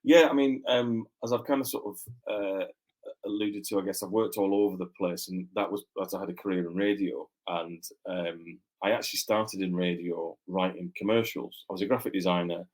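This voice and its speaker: A man with a low voice and a mixed northern English accent talks as a guest author. He rambles easily, doubling back on his words with plenty of fillers. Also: fairly even intonation, moderate pace, a little mellow, poor audio. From Yorkshire, England. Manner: rambling, with many fillers and restarts. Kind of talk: casual interview chat.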